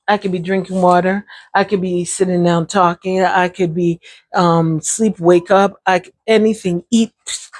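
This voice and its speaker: A woman, hosting her own channel and telling viewers about her brain injury in a casual, chatty talk to the camera. She calls her viewers "y'all", laughs now and then, and her voice sounds a little sore and hoarse. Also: lowish voice, darkish tone, no echo.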